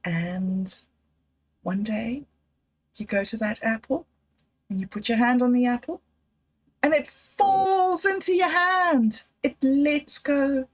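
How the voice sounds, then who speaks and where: Slow, reflective woman on dull audio. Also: sits high. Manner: slow, thoughtful storytelling with emotional quotes. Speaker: livestream host. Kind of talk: reflective personal talk.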